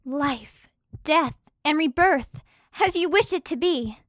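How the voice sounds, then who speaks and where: A woman's voice: high-pitched, lively intonation, takes it slowly, no echo, clean studio sound. A woman playing a practical, caring and slightly wry female healer in a fantasy audio drama. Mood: reverent.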